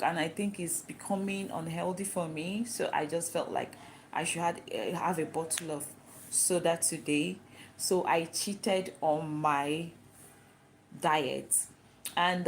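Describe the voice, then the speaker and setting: A woman's voice: on the low side, a little mellow, slight background noise, poor audio. A Nigerian woman whose first language is Igbo, talking to camera in a chatty, explaining way and answering her own questions. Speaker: vlogger. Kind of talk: casual talk to camera.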